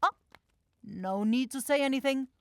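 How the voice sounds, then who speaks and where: A theatrical horned demon shopkeeper, played by a woman, effusive and sure of herself. Her voice sits fairly high and is recorded dry, in fantasy roleplay style. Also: moderate pace, a bit bright, smooth, no room sound. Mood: effusive, confident. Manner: effusive, self-assured sales patter. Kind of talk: fantasy ASMR roleplay acting.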